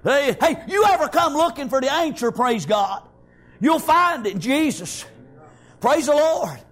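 Male revivalist preacher, Southern US accent, very high-pitched, fervent and loud, peppering phrases with exclamations of praise. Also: sing-song, unhurried. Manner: repetitive stop-and-go phrasing with frequent interjections. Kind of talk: impassioned revivalist sermon.

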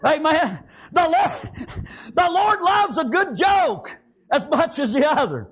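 A male preacher, delivering a shouted, rhythmic sermon full of "praise God" and "amen.". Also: very high voice, very animated, slow pace.

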